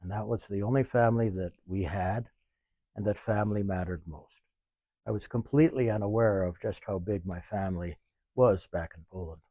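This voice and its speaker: A man in his seventies, talking in a measured, thoughtful way and pausing often mid-sentence, in an interview. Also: fairly low pitch, warm tone, no room sound. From Canada. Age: 70s. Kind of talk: reflective interview. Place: small museum.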